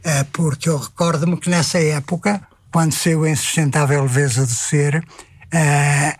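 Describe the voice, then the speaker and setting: A man's voice: fairly high pitch, fairly even intonation, moderate pace, dry recording. An older man with a European Portuguese accent, a retired publisher, answering thoughtfully with many hesitations. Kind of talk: conversational interview answers.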